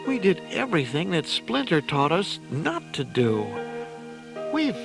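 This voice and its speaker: A man's voice: on the high side, wildly swooping pitch, measured pace, gravelly, pitched above the performer's own voice, exaggerated voice. A man voicing a sensitive young turtle weighed down by guilt, with pained, subdued delivery in a children's audio drama. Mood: anguished guilt. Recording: loud music behind the voice.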